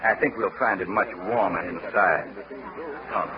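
An actor in a 1940s radio drama playing a stern, unyielding Southern gentleman suitor with icy courtesy. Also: low voice, a little slow, a crowd in the background. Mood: icy politeness. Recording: muffled, faintly noisy vintage radio broadcast.

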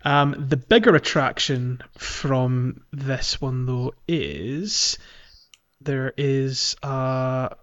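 Male podcast co-host, mid-pitched, casual gaming explainer, slightly hesitant, muffled lo-fi recording. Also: extremely slow, a bit bright. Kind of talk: casual video game chat, explaining quests. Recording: dull, lo-fi sound.

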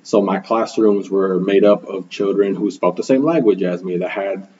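Earnest low-voiced man, native Spanish speaker. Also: normal speed, a little mellow, lo-fi sound, slight background noise. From Milwaukee, Wisconsin, USA. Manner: earnest, reflective, frequent ums and "right?". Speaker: guest, school program manager. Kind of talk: conversational interview answers.

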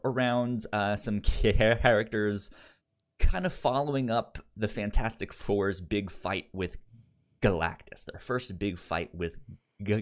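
Male podcast co-host, lowish voice, stuttering delivery, loose conversational review style. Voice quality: stutters, repeating sounds and syllables. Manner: halting, informal, conversational. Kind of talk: casual podcast review chat.

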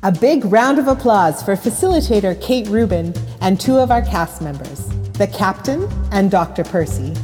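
Woman, podcast host, scripted introduction, soft dark tone, long reverberant tail. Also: mid-range voice, expressive, moderate pace, hardly any pauses, faint music behind.

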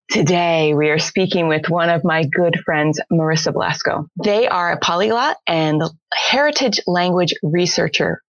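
A woman's voice: lowish voice, no echo. A woman co-hosting a podcast interview, talking in an upbeat, chatty way. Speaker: podcast co-host.

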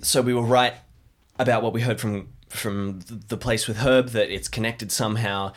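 Man acting shaken, halting adventurer in an audio drama. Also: lowish voice, steady tone, lightly acted. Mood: shaken, anxious. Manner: halting, breathless, fragmented speech. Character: shaken, anxious local man in a deep-sea adventure.

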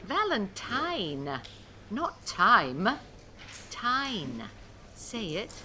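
A woman's voice: medium pitch, huge pitch swings, pushed and bright, slight background noise, exaggerated voice. A woman playing a kindly but firm elementary school teacher in a radio play, speaking carefully as if to young children. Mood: cheerful, patient. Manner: measured, enunciating as to young children.

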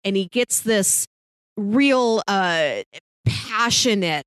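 A woman's voice: medium pitch, lively intonation, extremely slow, smooth, dry recording, studio quality. A woman co-hosting a podcast, casually recommending things in an earnest, enthusiastic way with emphatic lists. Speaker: podcast co-host reviewing shows.

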